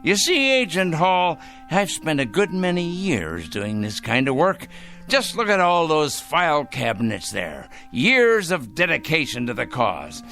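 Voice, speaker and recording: Radio drama role: a self-righteous zealot, wildly swooping pitch, bright insistent edge, fussy and repetitive. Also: male voice, a little slow, vocal fry, faint music behind, full character voice. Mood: proud, zealous. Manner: fussy, excitable, repeating himself emphatically. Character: fanatical, self-righteous male crank obsessed with punishing evil.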